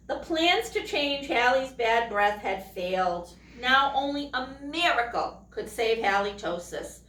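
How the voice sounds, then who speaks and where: A woman reading a children's picture book aloud expressively, pausing to put questions to young listeners. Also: on the high side, pushed and bright. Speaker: storytime reader, teacher. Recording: echoey room, distant microphone.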